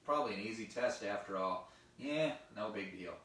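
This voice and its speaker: Storybook brat, played by a man: nasal, smug, belittling, swooping pitch, roomy distant sound. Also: very animated, a little fast, pushed and bright. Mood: sneering. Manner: dismissive, boastful. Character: smug young boy who belittles everyone. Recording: very echoey room, faint noise, distant.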